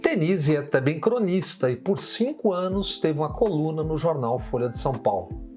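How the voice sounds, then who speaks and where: A man hosting an interview, giving formal, measured introductions and asking questions. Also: fairly high pitch, expressive, a little mellow, faint music behind. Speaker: interview host.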